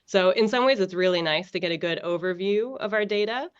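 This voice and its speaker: A woman's voice: medium pitch, a little fast, clear, resonant tone, lo-fi sound. A woman from the United States with an American accent, a guest researcher explaining her work casually with lots of fillers and restarts over a video call. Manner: relaxed, many fillers and restarts.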